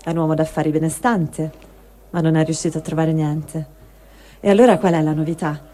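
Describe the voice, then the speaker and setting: A woman's voice: deep voice, fairly even intonation, brisk, mellow. A woman giving a dramatic reading of a first-person written testimony. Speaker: reader of a translated testimony.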